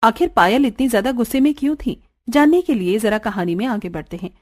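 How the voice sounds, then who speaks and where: A woman's voice: a little high, animated, a little fast, darkish tone, slight background noise. A woman reading scripted narration for a cartoon story.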